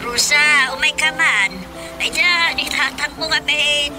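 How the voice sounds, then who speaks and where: A woman's voice: high-pitched, measured pace, music in the background. A woman playing a nagging, exclamatory elderly wife and mother in a comic radio drama. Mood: worried, urgent.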